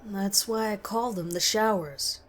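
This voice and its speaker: A woman's voice: mid-range voice, measured pace, a bit bright, slight background noise, natural voice. A woman voicing a playful, charismatic male schoolteacher who tells a frightening story with emphasis. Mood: grave, intense.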